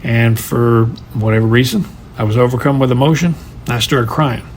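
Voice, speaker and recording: Male guest contributor, average register, relaxed anecdote telling, slight noise in the background. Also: mid-range voice, moderate pace. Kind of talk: casual personal storytelling.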